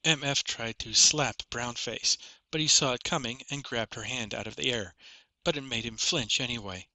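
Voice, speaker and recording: A man's voice: medium pitch, moderate pace, bright, forceful tone, no room sound. A man reading a first-person fantasy story as a scripted audiobook narration.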